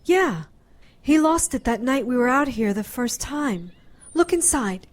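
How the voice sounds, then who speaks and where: A woman's voice: sits high, expressive, measured pace. A woman playing a determined doctor hunting for her lost brother in an audio drama, intense and emotional. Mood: urgent, sorrowful.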